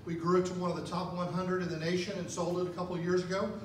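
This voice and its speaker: A man's voice: high-pitched, flat delivery, rapid-fire. A man from Florida, a business owner and former police officer, introducing himself with self-deprecating jokes. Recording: echoey room, mic far off, noisy.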